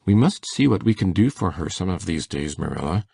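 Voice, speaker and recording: A man playing a gentle, proud older farmer with a gravelly voice and plain folksy phrasing in an audio drama. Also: low voice, animated, fairly quick, dry recording, clean studio sound, exaggerated voice. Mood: quietly proud.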